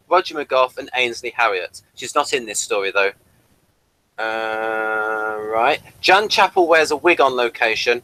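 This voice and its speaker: Male review host, droll delivery, bright and animated, dry recording. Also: medium pitch, no echo, low-quality recording. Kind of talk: wry reading of episode review notes.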